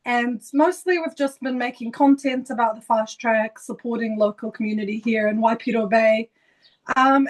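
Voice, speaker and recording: A woman's voice: medium pitch, poor audio. A woman from Iraq introducing herself as a panelist on a webinar, earnest, with slight stumbles.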